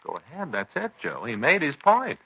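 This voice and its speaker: An American actor playing a chatty, stubborn police detective's partner in a 1950s radio drama, with a folksy, insistent way of talking. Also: male voice, on the high side, wildly swooping pitch, moderate pace, husky, full character voice. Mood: earnest, stubborn. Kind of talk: 1950s radio crime drama acting, conversational. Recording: dull old radio recording.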